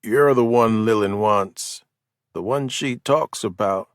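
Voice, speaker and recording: Haunted, drugged Southern mental patient, gruff slow drawl, low voice, as a narrator puts him on; audiobook figure. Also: male voice, no echo, clean studio sound.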